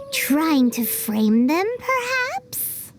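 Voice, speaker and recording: An actress voicing a tiny childlike fairy companion in a video game, squeaky, excitable, bossy and cutesy. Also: female voice, very slow, heavy background noise, full character voice. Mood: eager, curious. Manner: exclamatory, cutesy, indignant. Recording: loud music under the voice. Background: game background music.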